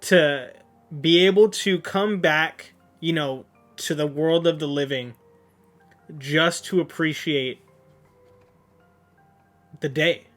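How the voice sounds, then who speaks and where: A man's voice: a little high, takes it slowly, long pauses, a bit bright, soft background music. A young man streaming his reaction to a manga, rambling casually with lots of fillers. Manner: rambling, filler-heavy, restarts.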